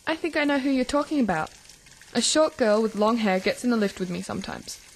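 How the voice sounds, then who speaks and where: A woman playing a caring, teasing teenage older sister in a radio drama, gently probing and reassuring. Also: fairly high pitch, lively intonation, fairly quick, low-quality recording. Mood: teasing, curious. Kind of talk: radio drama acting for English learners. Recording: clear background noise of sizzling cooking. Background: frying and stirring kitchen sounds.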